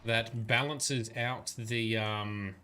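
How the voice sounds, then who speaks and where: A man's voice: fairly low pitch, fairly even intonation, very slow, pushed and bright, soft background music. A man giving casual, rambling commentary while livestreaming a game, muttering asides and grumbling at the characters. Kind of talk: casual live gameplay commentary.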